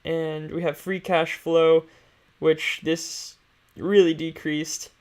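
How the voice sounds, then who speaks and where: A man's voice: a little high, flat delivery, takes it slowly, a little mellow. A man hosting an investing stream, explaining finances casually and without a script, in an informal, hesitant, think-aloud way.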